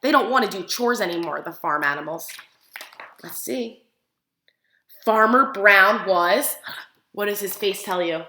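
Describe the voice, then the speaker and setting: Casting: woman preschool teacher, bright high voice, expressive picture-book read-aloud with questions to little listeners, recorded in an echoey room. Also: a little slow, long pauses. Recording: roomy, echoing room sound.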